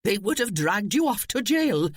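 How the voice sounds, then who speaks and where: Casting: narrator as soft Welsh older woman, sing-song. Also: mid-range voice, big pitch swings, moderate pace, husky, no room sound, pitched above the performer's own voice. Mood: reproving. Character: gentle Welsh older lady, mournful.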